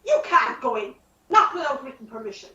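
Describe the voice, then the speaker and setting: A woman's voice: high voice, sing-song, brisk, pushed and bright, lo-fi sound, heavily acted. A woman acting a flustered, lovesick horse thief in an amateur radio play, dramatic and protesting. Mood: nervous, evasive. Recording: roomy, distant mic with hiss.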